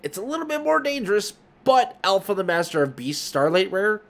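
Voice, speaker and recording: A man's voice: sits high, sing-song, a little fast, gravelly. A man giving casual, unscripted market commentary as a host, talking emphatically and rambling, often correcting himself. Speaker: host of a trading card market video.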